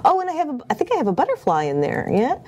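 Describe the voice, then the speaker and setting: A woman hosts a relaxed studio talk show. Her big voice is bubbly and animated, and she chats freely without a script. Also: high voice, very fast. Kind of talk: casual unscripted show chat.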